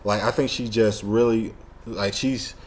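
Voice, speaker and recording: A man's voice: on the low side, steady tone, measured pace. A man hosting a casual fan discussion, talking in a slangy, rambling, sometimes profane way. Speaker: show host.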